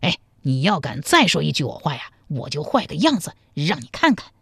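A woman's voice: deep voice, sing-song, brisk, creaky, fairly bright tone, breathy edge, dry recording, studio quality, heavily acted. A woman voicing a sly, teasing young suitor in a storybook, wheedling and mock-meek. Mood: roguish teasing. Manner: teasing, wheedling, laid-back. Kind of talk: dramatized storybook dialogue.

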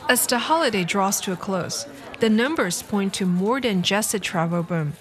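A woman news reporter reading a scripted voice-over report in a crisp, even newsreader way. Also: mid-range voice, moderate pace. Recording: voice-over with music bed.

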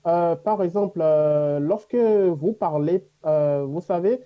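A man from Africa whose first language is a Gbe language, answering interview questions reflectively with many pauses and fillers. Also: on the high side, highs cut off. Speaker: guest.

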